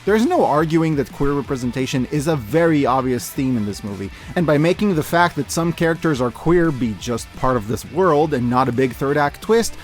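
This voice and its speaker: A man giving an enthusiastic, opinionated scripted review as a video host, with expressive conversational asides. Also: fairly high pitch.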